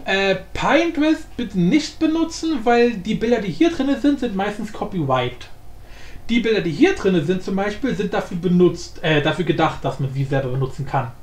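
A man giving a casual software tutorial, rambling informally in slang and thinking aloud. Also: high-pitched, very animated, normal speed. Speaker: tutorial host. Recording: echoey room, faint background noise.

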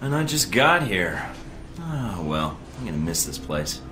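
Young male spy, deep voice, cocky, terse, dry, video game, echoing room. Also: sing-song, measured pace, background noise. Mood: dry, impatient. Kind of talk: video game cutscene acting. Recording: roomy echo with music behind.

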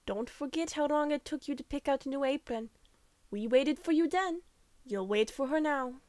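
A woman's voice: sits high, moderate pace, darkish tone, no echo, close to an everyday voice. A woman playing a bossy, no-nonsense adventurer in a fantasy audio drama, with a firm, chiding way of talking. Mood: scolding.

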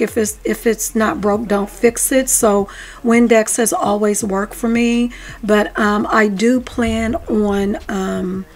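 Chatty woman explaining her cleaning. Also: medium pitch. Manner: chatty, explains her steps with frequent ums. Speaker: cleaning vlogger. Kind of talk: casual voice-over narration of cleaning. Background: faint background music.

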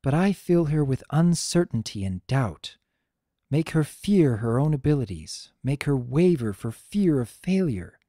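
A calm elder-sister pony princess from a storybook reading, as voiced by the narrator. She is wise and a little weary. Her delivery is unhurried and mellow, solemn yet gentle. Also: medium pitch, no room sound, studio quality. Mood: fond, self-reproachful.

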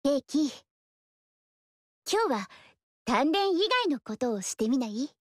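An actress voicing a polite, eager young woman archer in a video game, talking in a soft, earnest way. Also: sits high, sing-song, measured pace, no echo, studio quality, exaggerated voice. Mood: earnest gentle. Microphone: studio mic, dry.

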